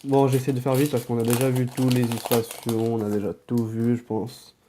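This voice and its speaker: A man with a head cold and sore throat chatting casually while opening card packs, now and then muttering quiet asides as he handles the cards. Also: mid-range voice, level tone, moderate pace, background noise.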